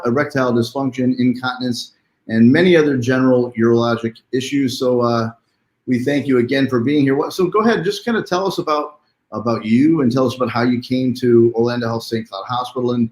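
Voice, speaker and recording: Male interview host, welcoming and conversational, mid-pitched voice, roomy echoing sound. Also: a little mellow. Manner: chatty, welcoming. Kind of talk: live online interview, conversational.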